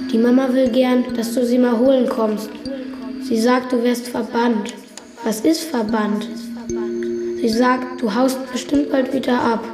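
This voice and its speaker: A woman acts a small, anxious child from a radio drama. The voice is fairly high, with simple, dreamy phrases. It echoes over music. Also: distant mic, natural voice. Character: small, anxious, trusting child calling for an absent father. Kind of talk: German radio drama acting. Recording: roomy echo, music underneath.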